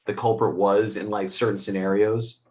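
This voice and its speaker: Halting man reviewing movies. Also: on the low side, flat delivery, a little mellow. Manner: halting, groping for words. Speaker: film reviewer. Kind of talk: casual unscripted review chat.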